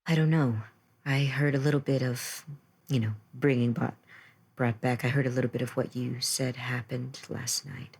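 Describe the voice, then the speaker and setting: A man plays an uneasy seafarer in a fantasy tabletop game. The crew member sounds husky and mid-pitched, worried yet reassuring. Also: little pitch movement, moderate pace.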